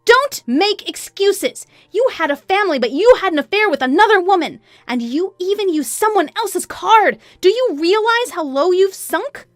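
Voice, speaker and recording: A woman voicing an indignant, scornful betrayed wife in a narrated story, sarcastic and forceful. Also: high-pitched, very animated, normal speed, no room sound, faint music behind, pitched above the performer's own voice. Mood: angry.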